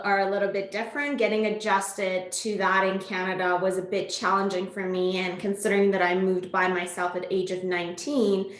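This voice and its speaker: A woman's voice: mid-range voice, fairly bright tone. A woman in her thirties, born in Iran with Farsi as her first language, answering interview questions thoughtfully, with many fillers and restarts. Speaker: podcast guest. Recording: echoey room.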